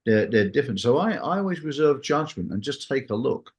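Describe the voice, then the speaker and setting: Man chatting casually, very animated pitch. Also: brisk. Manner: rambling, anecdotal, acts out reported dialogue. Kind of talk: casual conversational discussion.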